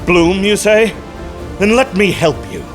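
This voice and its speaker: A man's voice: high-pitched, very animated, measured pace, soft background music. A man playing a cunning, pompous mold spore orator bent on conquest, in a comic audio drama. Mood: menacing, mocking. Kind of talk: theatrical audio comedy drama.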